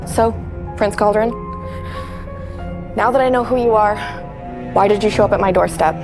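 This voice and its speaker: A woman's voice: mid-range voice, moderate pace, lightly acted. An actress playing a feisty, sceptical young single mother in an audio drama, with a blunt, challenging manner. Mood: defiant, scornful. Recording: music behind the voice.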